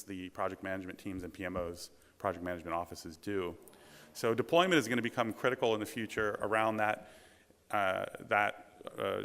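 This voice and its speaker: Brief: male conference presenter, booming, smooth, resonant, bright tone, halting with frequent fillers, reverberant hall. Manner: halting, many ums and restarts. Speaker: conference speaker, task force president. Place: large lecture hall.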